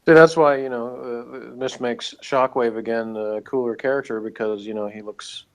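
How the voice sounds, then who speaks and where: A man's voice: mid-range voice, fairly even intonation, moderate pace, rough recording. A man co-hosting a review show, talking casually about pop culture in an easygoing, hedging way.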